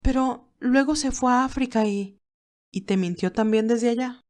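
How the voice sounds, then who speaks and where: A woman's voice: on the high side, normal speed, a little mellow, voice pushed up, close to an everyday voice. A woman voicing an earnest, hurt young nurse who protests emotionally in an audio drama. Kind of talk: audio drama character acting.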